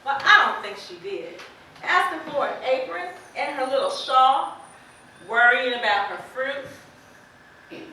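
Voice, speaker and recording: A woman playing a plain-spoken, sympathetic, quietly defiant farm wife in an amateur stage play, with deliberate folksy phrasing. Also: high-pitched, expressive, exaggerated voice. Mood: questioning, defensive. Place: indoor theater stage, distant microphone. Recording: echoey stage from far off, faint noise.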